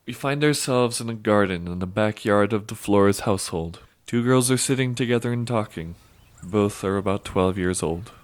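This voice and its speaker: A man's voice: fairly low pitch, moderate pace. A man narrating an audio drama from a script.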